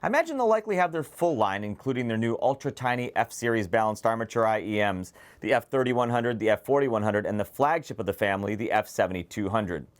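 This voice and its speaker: A man's voice: lowish voice, animated, fast, hardly any pauses. Man presenting an audio gear preview. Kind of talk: semi-scripted product preview narration to viewers.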